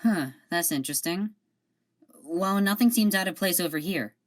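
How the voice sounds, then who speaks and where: A woman in an amateur audio drama playing a deadpan, seasick ship security guard. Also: on the low side, normal speed, natural voice. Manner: dry, deadpan.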